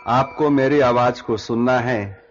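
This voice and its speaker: Slow-paced man guiding yoga nidra. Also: mid-range voice, dull audio. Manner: measured, instructive, with long pauses between short phrases. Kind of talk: guided relaxation meditation instruction. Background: background music.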